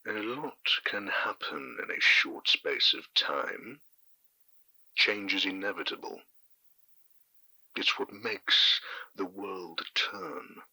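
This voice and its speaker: A man acting a grave narrator in an audio drama, delivering a solemn monologue in a breathy half-whisper with deliberate, portentous phrasing. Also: sits low, lively intonation, sharp tone, exaggerated voice, poor audio. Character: grave male narrator musing on evolution and change.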